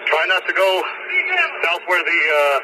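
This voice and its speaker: An American man talks as a police officer on scanner radio. His voice sits fairly high. The transmission is very noisy, with vehicle sound around it. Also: steady tone, measured pace. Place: in the field, over radio.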